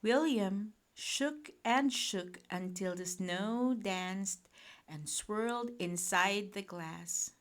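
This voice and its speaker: A woman reading a children's bedtime picture book aloud in a gentle, expressive, deliberate manner. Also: medium pitch. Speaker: storyteller.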